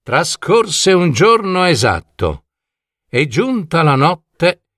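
A man's voice: a little high, sing-song, takes it slowly, a bit bright, dry recording, studio quality. A man narrating a scripted fairy tale for children as a storyteller.

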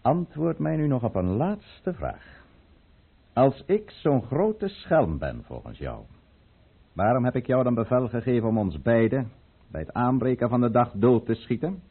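Grave commanding count in an old radio play, big swings in pitch, muffled narrow sound. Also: male voice, sing-song, a little slow, husky, exaggerated voice. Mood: commanding, brooding. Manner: grave, commanding, theatrical delivery. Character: proud, resolute count, a conspirator serving Russia. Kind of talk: radio drama acting.

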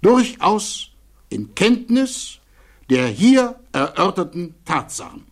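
An actor playing an earnest defense attorney in a German radio play, delivering a formal, measured plea with emphatic rhetoric and deliberate pauses. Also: male voice, high-pitched, big pitch swings, full character voice. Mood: insistent, grave. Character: defense attorney pleading earnestly before a security hearing.

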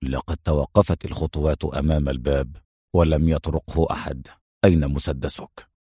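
A man voicing a serious, sharp-witted secret agent in an audiobook, measured and terse. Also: low voice, husky, dry recording, clean studio sound, pitched below the performer's own voice. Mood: hushed, alert. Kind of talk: dramatized audiobook hero.

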